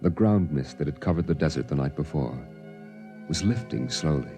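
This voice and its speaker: A man playing a somber storyteller recounting his boyhood on Mars, in measured, dramatic first-person narration for a vintage radio drama. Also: rumbling low, lively intonation, dark tone. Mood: somber, hushed. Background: dramatic music.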